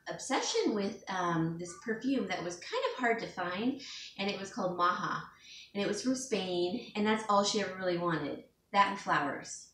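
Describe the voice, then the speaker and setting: A woman's voice: mid-range voice, fairly bright tone. A woman who works as a home stager, talking casually to her viewers in a rambling, chatty way, with a sniffle from allergies. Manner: rambling, chatty, with fillers and small laughs. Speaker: home stager and DIY vlogger. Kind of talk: casual chat to camera. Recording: echoey room, distant from mic, faint hiss.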